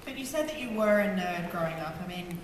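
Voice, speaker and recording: A woman's voice: fairly low pitch, animated, fairly quick, slight background noise. A woman co-hosting a convention panel, asking questions in a hesitant, stammering way in a large hall. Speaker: panel co-host. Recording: echoey hall, from far off.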